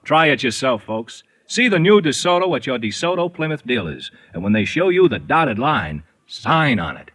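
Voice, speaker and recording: An actor playing an impressed, wisecracking customer in a 1950s radio car commercial. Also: male voice, mid-range voice, big pitch swings, normal speed, hardly any pauses, fairly bright tone, slight background noise. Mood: selling. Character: wisecracking customer test-driving a car, amazed.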